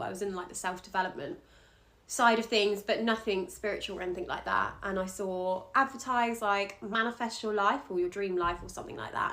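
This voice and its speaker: A woman astrologer, a podcast guest, talking candidly and casually about her work in a relaxed, soft-spoken way. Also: mid-range voice. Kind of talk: casual interview talk.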